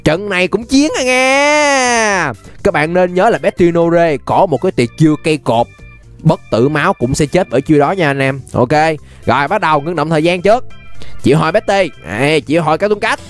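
A young man with a Southern Vietnamese accent giving excited, exclamatory gameplay commentary, repeating phrases and dropping in English interjections. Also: on the high side, normal speed, soft background music. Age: young adult. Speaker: gaming YouTuber. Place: indoor gaming room.

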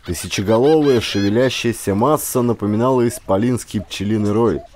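A man narrating a historical adventure audiobook as a scripted, expressive dramatic storytelling. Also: mid-range voice, a little hiss or hum. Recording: clear ambient sound effects behind voice. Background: ambience sound effects.

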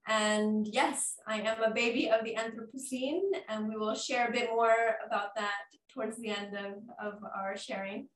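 A woman's voice: a little high, fairly even intonation, moderate pace, few pauses, slight background noise. A young woman in her twenties, a panel guest introducing herself with some hesitations in a living room. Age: 20s. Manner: warm, slightly hesitant, frequent ums. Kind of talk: casual conversation. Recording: echoey room from a distance.

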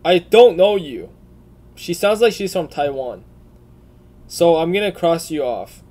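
A man giving casual off-the-cuff commentary in a crude, profane, blunt way, often deliberating out loud. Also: a little high, expressive, measured pace. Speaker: video creator.

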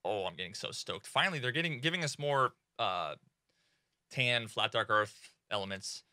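A young man in casual gaming commentary from a small home room, excitable and swearing freely, with many fillers. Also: fairly low pitch, moderate pace, no room sound. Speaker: gaming streamer.

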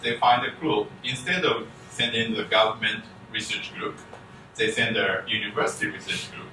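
A man gives a conference keynote talk in halting English full of restarts, speaking from the front of a conference room. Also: on the low side, steady tone. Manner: halting non-native English, frequent restarts. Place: conference room with projector screen. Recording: echoey room, distant mic, faint hum.

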